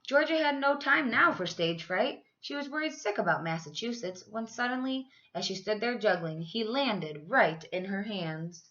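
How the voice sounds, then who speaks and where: A woman reads a picture book aloud to children in the manner of a teacher. Her voice sits at an average pitch and she tells the story expressively. The recording is slightly dull and lo-fi. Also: normal speed, hardly any pauses. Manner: animated, acts out dialogue. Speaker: teacher reading aloud to third graders. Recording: dull, lo-fi sound.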